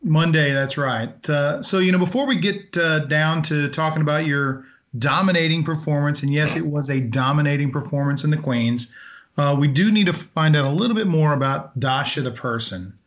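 Halting male podcast host. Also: fairly high pitch. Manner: friendly, halting, many ums and restarts. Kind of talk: casual interview.

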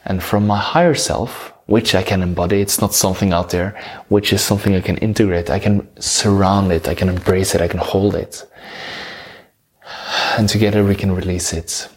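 A man from Norway with a Norwegian accent, talking slowly about spirituality and surrender, pausing and correcting himself as he searches for words. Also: sits low, fairly even intonation, darkish tone. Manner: slow, searching, with many pauses and self-corrections. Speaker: spiritual speaker. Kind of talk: reflective spiritual talk.

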